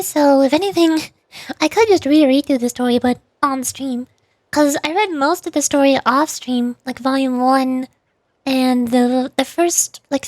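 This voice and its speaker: High-pitched woman chatting casually on stream. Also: no room sound, clean studio sound. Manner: rambling, thinking aloud. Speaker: livestreamer. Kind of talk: casual chat to livestream viewers.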